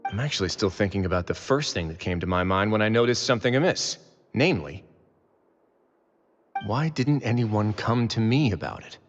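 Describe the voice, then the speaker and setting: Game role: authoritative warden duke, male actor, lower register, calm measured delivery with dry wit, over music. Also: muffled recording, lightly acted. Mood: calm, probing. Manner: measured, drily teasing, self-assured. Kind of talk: video game character voice acting.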